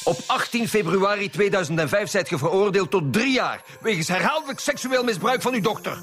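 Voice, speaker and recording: A man's voice: high voice, animated, a little fast, a bit bright, soft background music. An actor playing a stern, dogged male chief inspector in a radio drama, speaking blunt, commanding Flemish Dutch. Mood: accusing.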